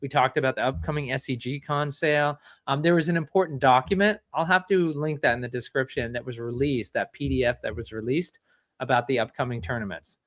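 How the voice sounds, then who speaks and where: A man hosting a casual chat, asking long rambling questions and giving shout-outs. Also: mid-range voice, fairly quick, no room sound. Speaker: show host.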